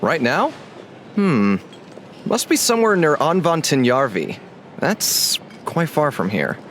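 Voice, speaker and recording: A man's voice: fairly high pitch, big pitch swings, slow, a bit bright, a little hiss or hum, heavily acted. A man voicing a friendly male tavern owner in a video game, talking in an easygoing, wry, amused way. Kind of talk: game voice acting. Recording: game dialogue over background music.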